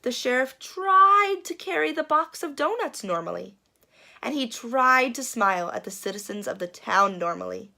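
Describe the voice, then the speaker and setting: Very animated, bright woman storyteller. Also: fairly high pitch, moderate pace, vocal fry. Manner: dramatic, suspenseful, playful. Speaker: children's storyteller. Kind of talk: expressive picture-book read-aloud.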